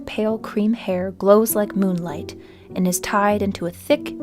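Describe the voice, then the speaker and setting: A woman of middling pitch delivers scripted fantasy narration. It is descriptive and detailed, with faint music behind her.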